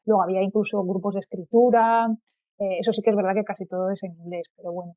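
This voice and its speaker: A woman's voice: medium pitch, steady tone, fast, dry recording, highs cut off. A woman with a Castilian accent chatting casually as a guest, informal and rambling, over thin call audio. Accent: Castilian Spanish. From Spain. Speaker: podcast guest. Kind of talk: casual chat.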